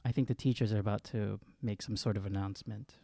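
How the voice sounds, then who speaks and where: A man with a dark, relaxed, fairly low voice plays a tabletop game. He improvises dialogue conversationally, recorded very dry. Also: fast, slightly rough, dry recording. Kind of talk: casual improvised in-character dialogue.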